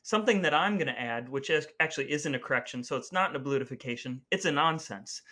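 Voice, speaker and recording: Man, podcast host, slightly high pitch, crisp bright edge, mock-grandiose phrasing, chatty, partly scripted. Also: animated, fast talker.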